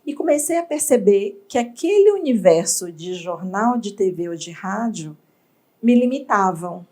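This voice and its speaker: A woman from Bahia, an entrepreneur telling her story in an interview, reflective and expressive. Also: medium pitch, measured pace. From Bahia, Brazil. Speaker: entrepreneur guest.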